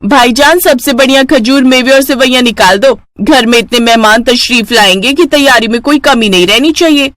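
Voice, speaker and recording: A woman's voice: fairly high pitch, normal speed, fairly bright tone, no room sound, lightly acted. A woman voicing a haughty, boastful rich elder sister who bosses people around in a storybook tale. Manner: boastful, ordering, dismissive.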